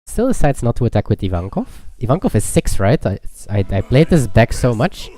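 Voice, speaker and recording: A man casually commentating a card game tournament stream, explaining plays in relaxed gamer slang. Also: mid-range voice, fairly quick, no room sound.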